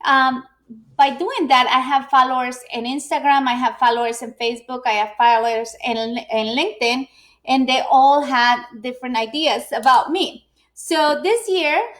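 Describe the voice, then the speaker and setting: Middle-aged woman, high voice, chatty live-stream speaker who restarts often. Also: moderate pace, fairly bright tone. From Ecuador. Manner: chatty, frequent fillers and restarts. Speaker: business owner and speaker. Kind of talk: live video presentation.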